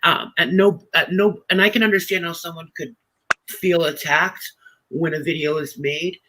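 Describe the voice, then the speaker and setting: A woman on a live stream panel, defending herself and rushing through her account. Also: low-pitched, fairly even intonation, background noise.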